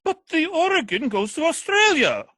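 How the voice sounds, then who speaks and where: An actor playing a deluded, pompous nephew who declaims like a military commander in a radio comedy. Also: male voice, extremely high pitch, very animated, normal speed, pushed and bright, no echo, studio quality, heavily acted. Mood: eager, blustering. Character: deluded, booming nephew who believes he is Teddy Roosevelt. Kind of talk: radio comedy theatre acting.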